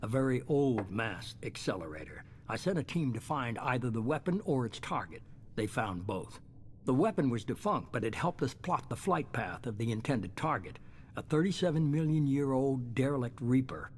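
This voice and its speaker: Measured, calculating boss played by a man, noisy ambience. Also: medium pitch, sing-song, natural voice. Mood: coolly self-justifying. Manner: measured, authoritative, unapologetic. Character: shadowy calculating male leader, middle-aged, coolly self-justifying. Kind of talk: dramatic video game dialogue.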